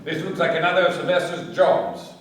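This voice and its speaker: Male actor as shrewd police inspector, highish voice, brisk, authoritative, radio drama, heavy echo. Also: steady tone, slight background noise, natural voice. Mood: commanding. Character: composed, shrewd male Scotland Yard detective inspector. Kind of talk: radio play acting. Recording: very echoey, distant from microphone.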